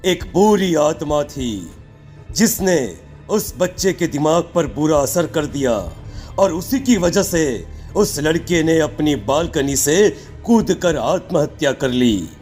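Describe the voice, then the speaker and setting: Man playing a stern, higher-pitched holy man. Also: measured pace, darkish tone, faint music behind, natural voice. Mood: accusing. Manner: grave, solemn, accusing. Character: stern, accusing male occult holy man. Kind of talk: horror cartoon voice acting.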